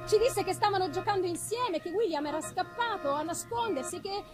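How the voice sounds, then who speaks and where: A woman giving an expressive, deliberate dramatic reading of literary prose, with weighty pauses. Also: very high-pitched. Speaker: reader of a classic novel. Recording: live musical accompaniment behind the voice. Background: live music.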